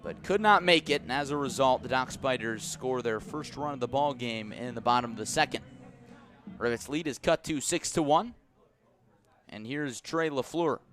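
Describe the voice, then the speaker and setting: A man calling live baseball play-by-play for the radio in a measured, descriptive way. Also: mid-range voice, fairly bright tone. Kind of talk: live radio sports commentary.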